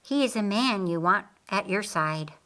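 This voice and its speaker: Woman reading as measured, earnest master of the house. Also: mid-range voice, lively intonation, a bit bright.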